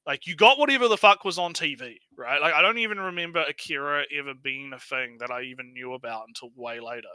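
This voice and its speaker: A man with a fairly high, piercingly bright voice hosts a casual critique stream. He is blunt and sweary, with a mocking edge. The sound is very dry, with hardly any room on it. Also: fast, hardly any pauses, clear, resonant tone, no echo. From New Zealand. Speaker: stream host. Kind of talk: casual critical commentary on a video.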